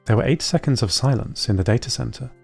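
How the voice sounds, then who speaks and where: A man voices an observant robot storyteller in scripted audio fiction. The delivery is precise, literal and earnest at a middle male pitch, with music underneath. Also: fast talker, vocal fry, a little mellow, husky. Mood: measured, observant. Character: robot narrator recounting human behaviour, observant and earnest.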